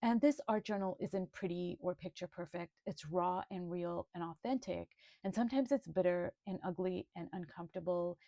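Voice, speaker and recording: A woman presenting her art journal in a video, speaking in a slow, thoughtful, gently earnest way. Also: lowish voice, no room sound, clean studio sound. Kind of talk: reflective video flip-through.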